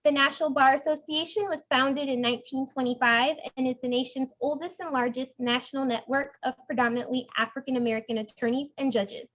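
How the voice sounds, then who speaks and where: A woman's voice: sits high, steady tone, a little fast, few pauses, a bit bright, dull audio. A young woman reading a formal scripted welcome and introduction as the chair of an online event. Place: indoors, online video meeting.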